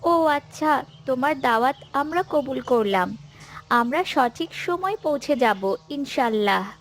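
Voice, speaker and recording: A woman doing a high-voiced, artless little girl. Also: lively intonation, unhurried, slight background noise. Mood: polite. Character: polite, naive young girl guest in an Islamic cartoon. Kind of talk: cartoon dialogue.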